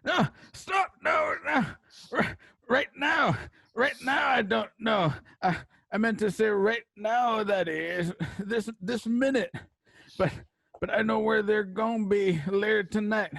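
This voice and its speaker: A man's voice: high-pitched, slow, vocal fry, fairly bright tone, no echo, heavily acted. An American man playing a desperate, pleading Western outlaw in a radio drama, stammering and whimpering. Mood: panicked.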